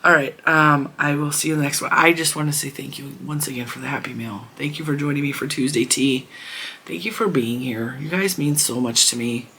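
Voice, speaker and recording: A woman hosting a crafting channel, chatting casually to her viewers in a hoarse voice, rambling and warm. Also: deep voice, brisk, a little hiss or hum. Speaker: crochet channel host. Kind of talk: casual chat to camera.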